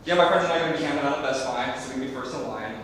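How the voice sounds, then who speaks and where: Male actor as fast-talking clueless college student, echoey stage. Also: fairly bright tone, lightly acted. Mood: chatty, oblivious. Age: young adult. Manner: rambling, earnest. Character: clueless, chatty young college student volunteer. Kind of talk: stage comedy acting. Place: theater stage. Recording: echoey theater, distant mic, rumbling noise.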